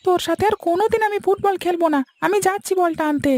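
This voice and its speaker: A woman's voice: extremely high pitch, fast, a little mellow, dry recording. A woman voicing a young village boy in a cartoon, pleading and argumentative. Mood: bossy, annoyed. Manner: pleading, protesting. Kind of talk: cartoon voice acting.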